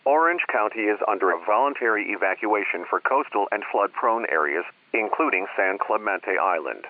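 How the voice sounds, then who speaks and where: A man's voice: on the low side, expressive, normal speed, slight background noise. A man reading a scripted evacuation bulletin as an emergency announcer, in a formal, official manner. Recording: tinny phone-like radio alert.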